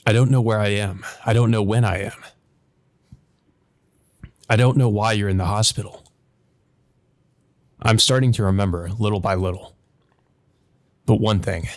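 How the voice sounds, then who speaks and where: A man's voice: fairly low pitch, steady tone, normal speed, long pauses. A man narrating a visual novel as a let's play host, reading aloud and adding hesitant commentary of his own.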